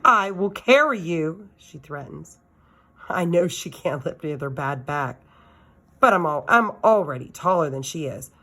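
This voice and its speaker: A woman's voice: sits low, normal speed, bright, forceful tone. A woman reading a children's novel aloud as a teacher does for students, with expression.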